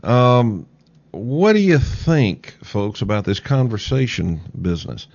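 A man hosting a call-in radio show, talking in a halting way with many pauses and fillers. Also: medium pitch, sing-song, vocal fry, a little mellow, no echo, dull audio. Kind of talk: late-night call-in radio talk.

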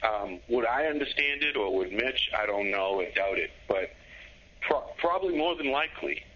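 A man's voice: lowish voice, lively intonation, normal speed, pushed and bright, husky, dull audio. A man appearing as a guest on a talk show, speaking in an easygoing, loosely phrased way. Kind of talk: casual chat, remote guest.

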